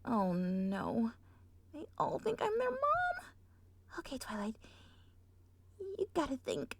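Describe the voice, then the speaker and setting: A woman's voice: a little high, sing-song, slow pace, darkish tone, slight background noise, exaggerated voice. A woman voicing an anxious, bossy young cartoon unicorn who cries out in strained bursts. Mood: panicked. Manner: strained, crying out.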